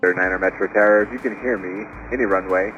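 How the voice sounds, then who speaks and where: A low-voiced man talks as an air traffic controller over a muffled aviation radio. Faint music sits behind the lo-fi transmission. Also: steady tone, very slow.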